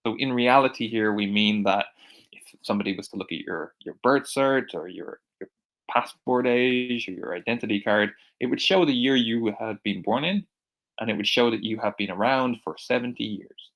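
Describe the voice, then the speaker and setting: A man from Ireland with an American-sounding accent teaching English vocabulary, repeating each word slowly and clearly for learners. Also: on the low side, a bit bright, rough recording. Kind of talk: recorded vocabulary lesson.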